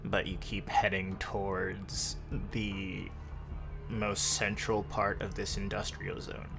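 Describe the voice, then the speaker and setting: A man narrating a tabletop roleplay game as game master, descriptive and measured, with long pauses. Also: fairly low pitch, vocal fry. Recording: music bed under the voice. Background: music.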